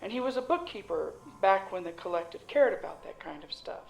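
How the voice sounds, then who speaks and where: A woman playing a warm, shrewd caravan cook who deals in secrets, with a folksy, teasing, knowing manner in an audio drama. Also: mid-range voice, lively intonation, a little fast, vocal fry. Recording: roomy echo, music underneath.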